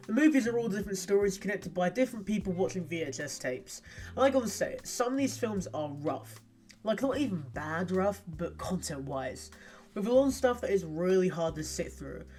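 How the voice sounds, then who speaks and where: A man's voice: high voice, darkish tone. A man giving casual commentary as a guest co-host, chatty, candid and emphatic. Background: music.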